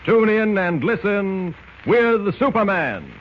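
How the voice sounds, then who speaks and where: A male announcer of a vintage radio serial, urgent and emphatic. His delivery is highly animated, rising and falling dramatically. The recording sounds dull and scratchy, with some noise. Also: slow. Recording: scratchy, muffled old-time radio recording.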